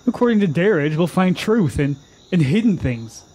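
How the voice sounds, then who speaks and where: An actor playing a proud, stubborn warrior in a fantasy radio drama, speaking in a brusque, challenging way. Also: male voice, high voice, big pitch swings, moderate pace, darkish tone, breathy edge, full character voice. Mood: firm, urging.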